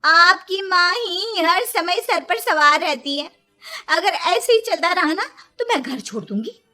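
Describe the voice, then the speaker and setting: A woman's voice: very high-pitched, expressive, unhurried, sharp tone, heavily acted. A woman voicing a sassy, quarrelsome young wife who talks back indignantly in a children's cartoon. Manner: snippy, indignant. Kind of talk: Hindi children's cartoon dialogue.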